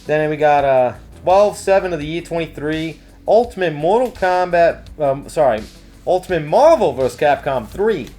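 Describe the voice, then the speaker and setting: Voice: mid-range voice, expressive, moderate pace, warm tone, soft background music. A co-host of a casual news show who rambles jokily through announcements, breaking into goofy exclamations. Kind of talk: casual comedic banter while reading event announcements.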